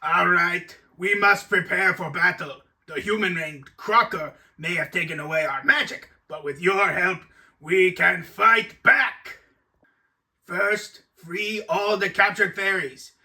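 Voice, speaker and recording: An actor playing a mighty, pompous fairy commander in a cartoon, booming out orders. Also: male voice, slow, pushed and bright, distant mic, full character voice. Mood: commanding. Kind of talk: cartoon hero acting.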